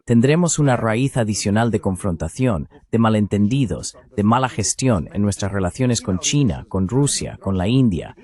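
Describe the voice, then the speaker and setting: A man giving a Spanish voice-over of interview answers, explaining political analysis in a deliberate way with short emphatic phrases. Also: on the low side, lively intonation, a little mellow.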